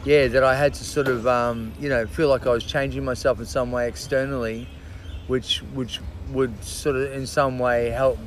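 Halting man with lots of fillers. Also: mid-range voice, level tone, a little hiss or hum. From Australia. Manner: halting, many fillers and restarts, swears casually. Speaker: podcast guest. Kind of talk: casual interview chat.

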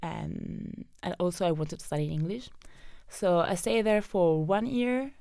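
A young Italian woman speaks English with her native accent, chatting as an interview guest with plenty of fillers and easy laughter. Her voice sits a little low, with a gravelly fry that still comes through crisp. The sound is dry and close. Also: lively intonation, unhurried, mellow, no echo. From southern Italy. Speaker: guest, data scientist. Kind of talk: casual interview storytelling.

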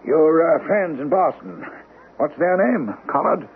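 On a thin vintage radio recording, a genial male doctor with a highish, very animated voice. He is encouraging and chatty, coaxing his patient along in radio drama manner. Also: unhurried. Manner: encouraging, chatty, with questioning tags. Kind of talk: old-time radio drama acting. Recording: thin, tinny old radio broadcast, faint hiss.

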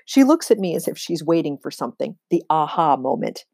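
A woman reads a children's novel aloud. Her voice is soft-edged and on the low side, with lively swings in pitch and emphatic phrasing. The sound is close and dry. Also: fairly quick, creaky, dry recording. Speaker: read-aloud reader. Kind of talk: expressive read-aloud storytelling of a children's novel.

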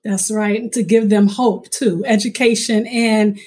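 A woman's voice: mid-range voice, steady tone, a little slow, darkish tone, breathy edge. A woman hosting a live radio interview, speaking in an affirming, encouraging way as she questions her guest. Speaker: radio show host and interviewer.

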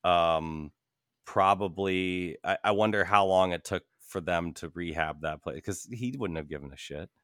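A man speaks in a low, smooth voice with a dry, close sound. He chats casually as a podcast panelist, sardonic and free with swearing. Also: moderate pace, fairly bright tone, no echo. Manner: sardonic, profane. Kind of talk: casual chat.

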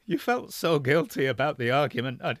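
A grieving father sobs and falters through a phone call, as a man reads him, his voice climbing high as it breaks. The delivery is dry and close, in the style of a storybook reading. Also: sing-song, brisk, dry recording. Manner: faltering, broken. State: crying. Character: grieving older father, guilt-ridden, sobbing on the phone.

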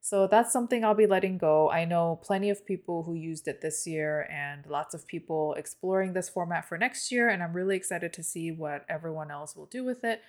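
Low-voiced woman, native Korean speaker. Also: fairly quick, hardly any pauses. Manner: relaxed, chatty, many fillers. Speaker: podcast co-host. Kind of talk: casual chat.

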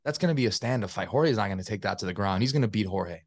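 A man's voice: lowish voice, animated, very fast, dry recording, studio quality. A young man from Spain co-hosting a casual fight-recap chat over a video call, emphatic and swearing freely. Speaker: podcast co-host.

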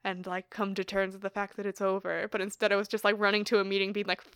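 A woman's voice: mid-range voice, completely flat delivery, fast, a bit bright, smooth, dry recording. Chatty young woman with a dry close sound. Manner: chatty, laughs a lot, lots of "like". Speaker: podcast co-host. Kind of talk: casual chat.

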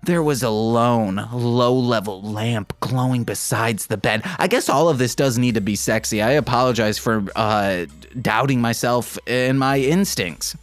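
A man's voice: medium pitch, unhurried, hardly any pauses. A man hosting a comedic podcast, reading fan fiction in a mock-sultry way and breaking off into self-mocking asides. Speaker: podcast host.

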